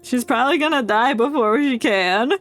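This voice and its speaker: Casting: female game streamer, slightly high voice, unhurried, rambling and playful, faint music underneath. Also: fairly bright tone. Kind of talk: casual joking gameplay commentary.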